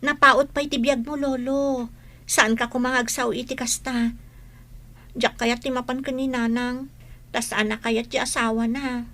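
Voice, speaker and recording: A woman's voice: a little high, flat delivery, measured pace, sharp tone. A woman playing a young student grandchild in a radio drama, eager yet questioning, with a Filipino accent.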